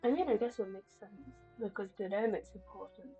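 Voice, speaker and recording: A woman's voice: medium pitch, brisk, phone-quality sound, soft background music. A woman streaming a game, chatting casually in a rambling, joking way.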